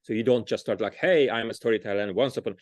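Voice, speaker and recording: A man's voice: fairly low pitch, fast talker, no echo. A man with a Hungarian accent, a storyteller being interviewed, talking casually and often restarting as he searches for words. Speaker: storyteller, guest.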